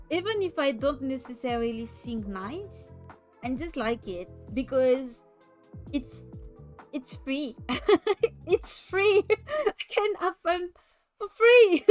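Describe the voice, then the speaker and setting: French-accented woman streamer, high voice, slow and giggly chat, faint music behind. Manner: giggly, laughs often, stumbles and repeats words. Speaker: VTuber streamer.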